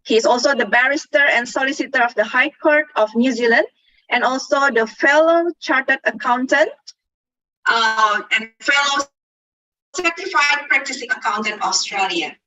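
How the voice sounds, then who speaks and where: A woman with an Indonesian accent moderates an online seminar, reading out introductions in a careful, formal way. Her voice is high and very bright, and the sound is dry and close. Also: normal speed, dry recording, low-quality recording. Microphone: video call.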